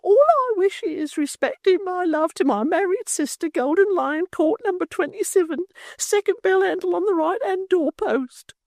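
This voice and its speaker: Shrill hysterical maid. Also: female voice, expressive, moderate pace, a little mellow, dry recording, clean studio sound. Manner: shrill, affected, melodramatic. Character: prim hysterical young maidservant, woman.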